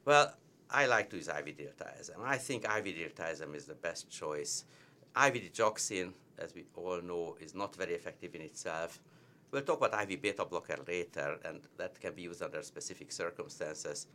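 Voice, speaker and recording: A man's voice: low-pitched, expressive, normal speed, bright, forceful tone. A man with a heavy Hungarian accent, a cardiologist explaining treatment with emphatic repetition of key points. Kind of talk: medical teaching discussion.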